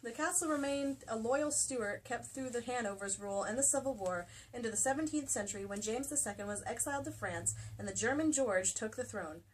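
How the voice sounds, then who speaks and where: A woman's voice: on the high side, a little fast, hardly any pauses. A woman doing a comedic dramatic reading of a novel as host, giggly and theatrical, with mock-dramatic emphasis. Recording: distant mic, clearly noisy room sound.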